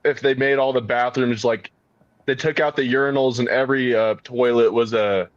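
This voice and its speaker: Halting man on a muffled line. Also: mid-range voice, steady tone, dry recording. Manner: halting, restarts questions. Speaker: panelist. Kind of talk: casual debate.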